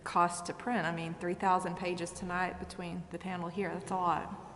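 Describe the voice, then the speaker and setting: Low-voiced woman in a reverberant chamber. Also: little pitch movement, normal speed, fairly bright tone. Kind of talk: meeting discussion. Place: council chamber. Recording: echoey chamber, faint noise and rumble.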